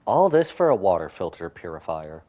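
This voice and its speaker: Very animated, lowish-voiced male game streamer. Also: normal speed, highs cut off. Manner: reactive, exclamatory, thinking aloud. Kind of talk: casual live gameplay commentary.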